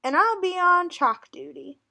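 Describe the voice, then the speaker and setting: A woman's voice: extremely high pitch, animated, unhurried, gravelly, pushed and bright, pitched above the performer's own voice, full character voice. A woman voicing a tiny, helpful mouse pupil in a picture book, chirpy and eager. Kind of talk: picture book read aloud.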